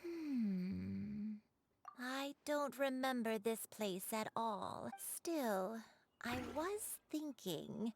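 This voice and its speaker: A woman voicing a gentle, forgetful elderly grandmother in a video game, frail, hesitant and apologetic. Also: fairly high pitch, lively intonation, extremely slow, heavily acted. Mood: gentle, unsure. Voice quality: creaky, frail.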